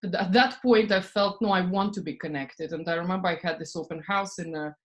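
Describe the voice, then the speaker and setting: A woman from Estonia, a podcast guest talking casually and acting out her inner thoughts in lively asides. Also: fairly low pitch, brisk. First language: Russian. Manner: animated, acts out her own inner voice in quoted asides, frequent "like" and soft laughs. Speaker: podcast guest, spiritual business coach. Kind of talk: casual interview talk.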